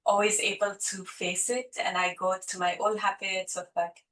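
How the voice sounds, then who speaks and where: A woman's voice: medium pitch, level tone, normal speed, fairly bright tone, poor audio. A woman from Nepal, asking a question on a video call, hesitant with many fillers and restarts. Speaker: first-time participant asking a question. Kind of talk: online dharma Q&A.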